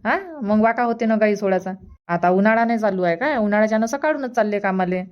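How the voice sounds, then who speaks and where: A woman voices an elderly village mother for a comedy cartoon, on slightly muffled audio. She has a mid-pitched voice and explains things plainly and practically in rural Vidarbha Marathi. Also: quick pace, close to an everyday voice. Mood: declining, explaining. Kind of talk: cartoon comedy dub dialogue.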